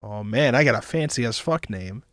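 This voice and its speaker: A man's voice: mid-range voice, expressive, normal speed, fairly bright tone, no echo, studio quality. A man streaming, reading a visual novel aloud expressively with casual asides.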